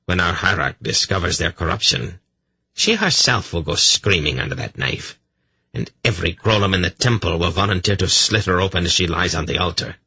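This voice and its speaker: A dark, venomous temple priest, played by a man reading a fantasy audiobook, muttering in a low, very husky voice. He sounds bitter and conspiratorial. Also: animated, brisk, no echo, heavily acted, poor audio.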